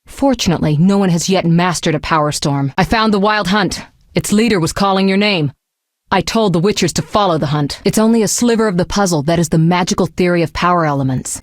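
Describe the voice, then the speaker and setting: A composed fantasy game sorceress, played by a woman, speaks in a fairly low voice with very little room sound. She is articulate and measured, caring yet resolute, with a sharp wit and an earnest way of putting things. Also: dry recording, studio quality, close to an everyday voice. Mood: serious, intent. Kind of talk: fantasy video game voice acting.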